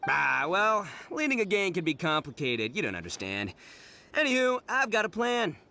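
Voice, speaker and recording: Brash, bright, high-pitched oni gang boss. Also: male voice, big pitch swings, normal speed, gravelly, slight background noise, exaggerated voice. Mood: scheming, boastful. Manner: swaggering, slangy, theatrical. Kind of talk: anime game dub acting.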